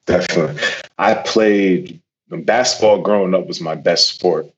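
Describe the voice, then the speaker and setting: A man's voice: low voice, normal speed, muffled recording. A man in his twenties from Connecticut, a professional football player, talking casually as a guest with many fillers and restarts. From Connecticut, USA.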